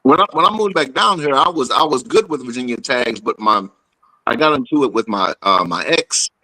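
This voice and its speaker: Male, medium register with a forceful edge, casual halting storytelling. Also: medium pitch, expressive, dry recording, poor audio. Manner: halting, repeats himself.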